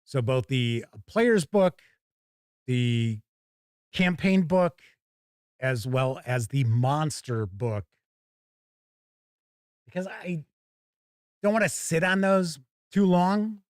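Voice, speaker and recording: Higher-pitched American man hosting a live stream. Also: very slow, long pauses, clean tone, no echo, clean studio sound. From United States. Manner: chatty, colloquial, emphatic. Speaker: YouTube live stream host. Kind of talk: casual live stream chat.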